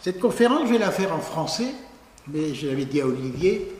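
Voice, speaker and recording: A man's voice: a little high, very animated, fairly quick, a little mellow, slight background noise. Man in an echoey hall. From Picardy, France. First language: Picard. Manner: conversational and digressive, with frequent "hein" tags. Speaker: lecturer. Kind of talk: public lecture. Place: large lecture hall. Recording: echoey hall with long reverb.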